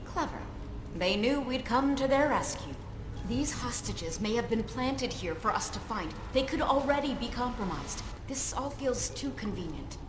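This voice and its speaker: A woman's voice: a little high, lively intonation, normal speed, close to an everyday voice. A woman playing a stern, impatient mission leader in a video game, with a curt, commanding manner. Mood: suspicious. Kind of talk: video game cutscene acting. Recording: roomy echo, game ambience noise behind.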